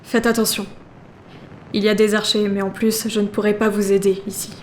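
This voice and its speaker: An actress playing a cautious adventurer, wary and restrained, in an audio drama. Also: female voice, medium pitch, flat delivery, a little fast, darkish tone, lightly acted. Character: cautious female companion with secret powers. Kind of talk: French audio drama acting. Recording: roomy echo, faint noise.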